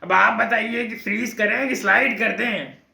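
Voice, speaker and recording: A man's voice: high voice, steady tone, normal speed, vocal fry, pushed and bright, full character voice. A man playing an impatient, irritable parent on a helpline call in a comic skit, exasperated and urgent, in an echoing room. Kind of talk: comic stage skit acting. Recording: roomy, echoing sound.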